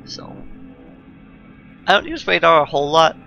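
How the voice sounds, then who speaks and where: A man's voice: a little high, very animated, normal speed, gravelly, bright, forceful tone, highs cut off. A man giving casual commentary as the host of a gameplay video. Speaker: let's play host. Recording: game music behind the voice. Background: video game music.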